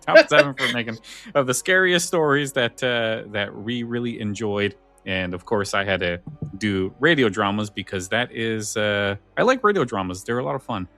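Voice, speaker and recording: Bright-voiced man hosting a casual podcast. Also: on the low side, lively intonation, moderate pace, faint music behind. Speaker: podcast host. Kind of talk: casual chat, show sign-off.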